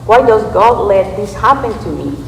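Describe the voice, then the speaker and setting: Medium-pitched woman reading in echoey church. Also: animated, normal speed, creaky. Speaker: church readers theater performer. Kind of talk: scripted dramatic recitation. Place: large reverberant church space. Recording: echoey hall, distant mic, background noise.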